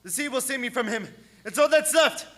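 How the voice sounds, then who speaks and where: A man's voice: very high-pitched, expressive, fairly quick, a bit bright, heavily acted. A man playing a haunted, agitated ship's mate driven mad by terror in a radio play. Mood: hysterical terror. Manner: intense, agitated. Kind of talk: dramatic radio play acting.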